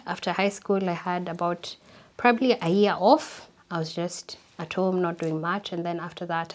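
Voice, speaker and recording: A young woman telling her life story in an interview, reflective and unhurried, often repeating words for emphasis. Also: lowish voice. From Kenya. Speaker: interview guest.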